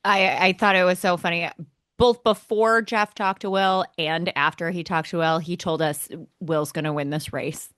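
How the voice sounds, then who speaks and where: A woman's voice: on the low side, fairly even intonation, normal speed, fairly bright tone, clean tone, no echo, clean studio sound. A woman co-hosting a sports podcast, talking casually as a keen fan with emphatic repetition for effect. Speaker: motorsport podcast co-host.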